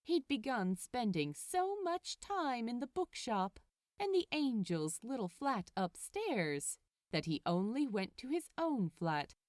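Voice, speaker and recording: Expressive woman narrator, very animated pitch. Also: no room sound, clean studio sound. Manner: expressive, deliberate storytelling delivery. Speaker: podfic narrator. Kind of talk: scripted storybook narration, read aloud.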